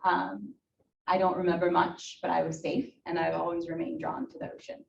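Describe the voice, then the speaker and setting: Ukrainian-born woman in her thirties, native Russian speaker, guest talk with fillers; low gravelly voice, taken from across an echoey hall. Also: fairly even intonation, noisy background. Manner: halting, many fillers and restarts. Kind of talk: personal talk to a club. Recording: echoey room from far off, dull.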